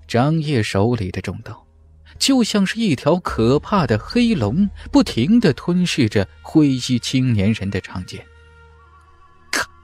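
A man's voice: medium pitch, darkish tone. A man narrating a fantasy martial arts audiobook in a dramatic, scripted style.

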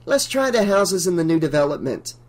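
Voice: medium pitch, very animated, a little fast, voice pushed up. A narrator voicing a well-meaning young caroler in a storybook, casual and earnest.

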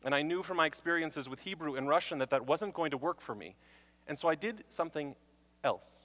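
A young man gives a stage talk in a bright, forceful voice. He tells his stories in an animated, self-mocking way, with a long reverb trailing behind him. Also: fairly high pitch, a little fast. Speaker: speaker.

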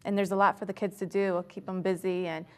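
A woman's voice: medium pitch, flat delivery, fast. Friendly woman giving event details. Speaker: marketing co-chair guest. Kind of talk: interview answers. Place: small studio with wood paneling. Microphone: lapel mic.

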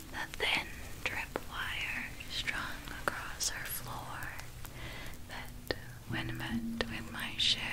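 A woman voicing an ageless, eerily courteous vampire in a whispered ASMR roleplay, telling tales in formal, old-fashioned storyteller diction. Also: low-quality recording. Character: ageless vampire recounting past feedings, eerily courteous, possessive.

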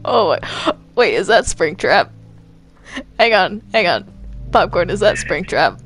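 A gamer with a lively, varied melody talks casually while playing, often stumbling and repeating words. The voice has some gravelly creak, and music plays faintly underneath. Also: mid-range voice, a little slow. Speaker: video game player. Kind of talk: casual gaming chat while playing Minecraft roleplay.

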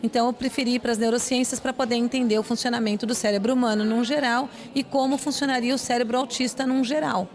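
A woman explains things earnestly and keeps checking that she is followed, tagging her sentences with a questioning "né". Her voice sits a little high. She speaks into a handheld reporter mic inside an event hall. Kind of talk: interview answers.

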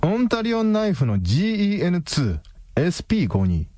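An actor playing a tough, cool-headed, defiant private detective in a radio drama, speaking curtly and with command. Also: male voice, sits high, wildly swooping pitch, normal speed, warm tone. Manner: curt, commanding.

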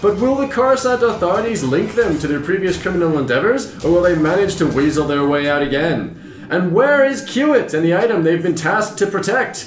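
Man as an animated adventure serial narrator. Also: high voice, a little fast, roomy sound. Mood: dramatic. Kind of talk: scripted cliffhanger narration.

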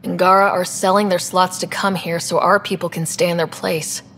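A capable young space explorer in a sci-fi video game. Her voice sits fairly low and she talks in a practical, earnest way. There is clear ambient noise behind her. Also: little pitch movement, moderate pace, a bit bright. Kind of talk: video game dialogue acting.

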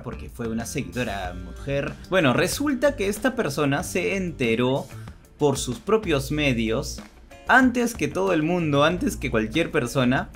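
Man chatting casually, music underneath. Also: on the high side. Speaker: co-host of a channel. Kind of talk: casual storytime chat.

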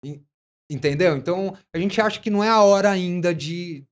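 A man in his forties, a guest on a business podcast in a studio, talking in an animated way and chiming in often with agreement. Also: sits high. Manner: quick agreeing interjections, animated. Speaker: guest, event founder. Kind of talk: casual interview chat. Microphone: studio mic on boom arm, headphones.

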